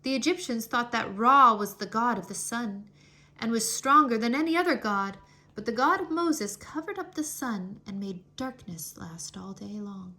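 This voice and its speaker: A woman reading a children's history story aloud in an expressive, deliberate, scripted storytelling manner. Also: on the high side. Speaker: storybook reader.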